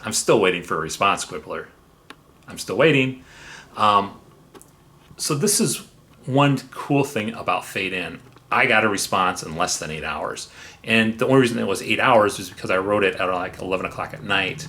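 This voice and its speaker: Low-voiced man reviewing software. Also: expressive, normal speed. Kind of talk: semi-scripted review narration.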